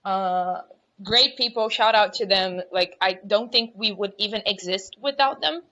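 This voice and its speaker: A woman's voice: medium pitch, measured pace, bright, forceful tone, muffled recording. A woman in her twenties, co-hosting a podcast in a candid, upbeat and brash way. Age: 20s. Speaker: podcast co-host. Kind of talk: casual panel chat.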